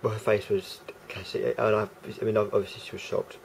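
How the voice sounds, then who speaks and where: Croaky, rambling low-voiced man. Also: little pitch movement, a little fast, slight background noise. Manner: rambling, hesitant, full of fillers and restarts. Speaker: vlogger. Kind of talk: casual monologue to camera.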